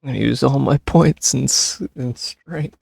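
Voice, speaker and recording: A gameplay streamer with a gravelly, husky voice, commenting casually and offhandedly into a close mic. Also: mid-range voice, measured pace, a little mellow, no echo. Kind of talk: casual gameplay commentary, reading choices aloud. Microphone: close microphone over game audio.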